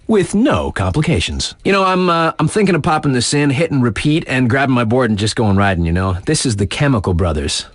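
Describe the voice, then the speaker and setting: A man's voice: mid-range voice, no room sound. A man playing a hip, laid-back radio DJ on a snowboard mountain in a video game, introducing tracks with slangy, cocky, playful patter.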